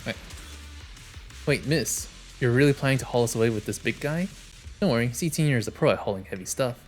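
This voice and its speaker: A man's voice: medium pitch, animated, brisk, faint music behind. A man who streams games, reading story narration and dialogue aloud on a livestream.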